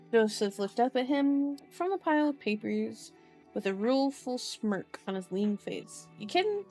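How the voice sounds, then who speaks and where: A woman's voice: on the high side, darkish tone. A woman doing a casual ASMR book reading, chatting playfully to viewers with informal asides and correcting herself as she reads. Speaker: streamer, reader. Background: background music.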